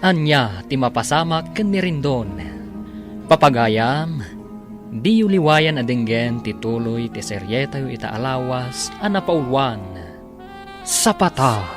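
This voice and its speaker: A man's voice: mid-range voice, animated, unhurried. A man with an Ilocano accent announcing and narrating a radio drama from a script. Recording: radio serial with clear music bed.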